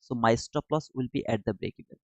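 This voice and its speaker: A man's voice: medium pitch, quick pace, a little mellow, no room sound. A man from Bangladesh commenting casually on his live trading chart and chatting with viewers in the chat. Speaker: trading streamer. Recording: dull lo-fi dry sound.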